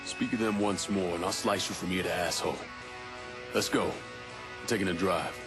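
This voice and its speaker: An actor playing a cold, menacing crime boss in a video game, speaking tersely and with command. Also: male voice, low voice, normal speed, music in the background. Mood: threatening. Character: cold, menacing young crime boss waging war on the mob. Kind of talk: cinematic game dialogue.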